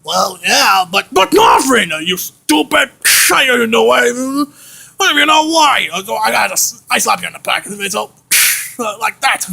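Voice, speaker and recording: A man's voice: extremely high pitch, expressive, normal speed, gravelly, pushed and bright, slightly rough, heavily acted. A man voicing an exasperated, short-tempered boss scolding a henchman in a homemade skit, ranting with stammered repetitions. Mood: exasperated, angry. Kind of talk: amateur improvised skit.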